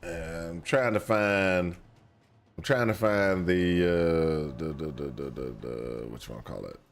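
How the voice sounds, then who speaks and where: Deep, slow-talking streamer man with a cold. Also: soft background music. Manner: rambling, lots of fillers and restarts. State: has a cold, sniffling. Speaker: gaming streamer. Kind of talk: casual gameplay talk.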